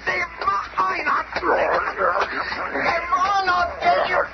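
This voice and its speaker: An actor playing a greedy, cowardly petty thief in a radio drama, squealing and frantic. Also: male voice, extremely high pitch, big pitch swings, takes it slowly, gravelly, heavily acted. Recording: muffled, very noisy old radio recording.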